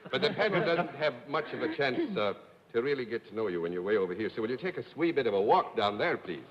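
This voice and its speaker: A man's voice: mid-range voice, animated, quick pace. A man hosting a live television game show, genial and joking, laughing often. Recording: dull 1950s broadcast audio in a reverberant studio.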